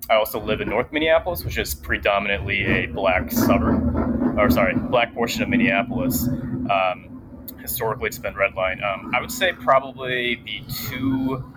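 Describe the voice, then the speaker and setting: Low-voiced young man, many ums. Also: moderate pace, background noise. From Japan. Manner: soft-spoken with many filler ums. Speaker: guest, teacher. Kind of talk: casual interview conversation.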